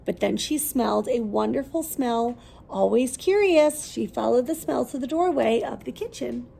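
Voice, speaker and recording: A woman's voice: on the high side, lively intonation, normal speed, creaky, warm tone, slightly rough. A woman reading a children's story aloud expressively, putting questions to her listeners as she goes.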